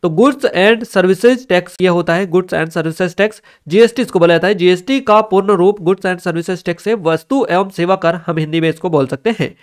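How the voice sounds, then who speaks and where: A man's voice: sits high, a little slow, few pauses, smooth. A man giving an explanatory exam-review lecture as a teacher, instructive and repeating key points for emphasis.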